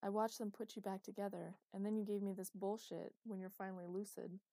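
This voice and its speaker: A woman's voice: mid-range voice, little pitch movement, a little fast, mellow, no echo, natural voice. A woman reading a man's lines, a blunt and exasperated partner, in a storybook reading. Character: man, gruff worried partner.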